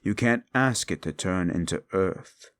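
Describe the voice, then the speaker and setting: A man voicing a brooding, bitter fallen demon who lectures the listener in a slow, condescending way in an audio roleplay drama. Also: sits low, lively intonation. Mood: lecturing.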